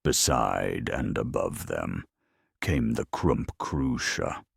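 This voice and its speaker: A man reading a scripted dramatic story in a measured, deadpan manner with dry humour. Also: very deep voice, vocal fry, husky, no room sound. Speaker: narrator.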